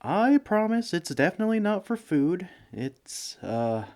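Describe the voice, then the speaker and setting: A man's voice: sits high, sing-song, unhurried, a little mellow, exaggerated voice. A man playing a bumbling, broke villain neighbor in a comedic audio roleplay, speaking in a hesitant, pleading, self-justifying way. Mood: sheepish. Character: broke, bumbling, sheepish male supervillain neighbor begging for sugar. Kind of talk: comedic ASMR roleplay monologue.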